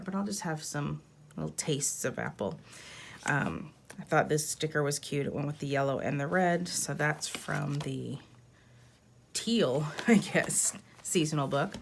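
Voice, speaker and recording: Low, gravelly-voiced woman. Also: a little hiss or hum. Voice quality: froggy, gravelly voice. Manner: rambling, self-deprecating, chuckles often. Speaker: planner vlogger. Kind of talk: casual voiceover narration. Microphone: voiceover mic.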